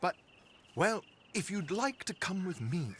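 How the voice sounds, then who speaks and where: A slippery, theatrical gentleman of a conman for a game cutscene. His voice is high and bright, with pompous, florid phrasing that rises and falls widely. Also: sing-song, unhurried, a little hiss or hum, heavily acted. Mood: smooth persuasion. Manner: pompous, florid, affected politeness. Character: theatrical, flamboyant gentleman conman, slippery and self-consciously eloquent. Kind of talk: video game cutscene dialogue.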